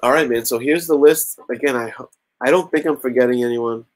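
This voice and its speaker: A man's voice: mid-range voice, a little fast. A man in his thirties hosting a casual comic-collecting stream from a small room at home, chatting and counting entries aloud into a webcam mic. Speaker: YouTube comic channel host. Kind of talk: casual livestream raffle chat.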